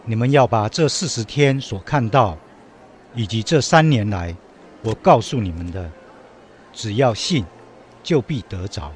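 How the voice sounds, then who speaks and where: A man playing a gentle, earnest Jesus teaching his disciples in a religious radio drama. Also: on the low side, lively intonation, unhurried, slight background noise. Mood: solemn, tender.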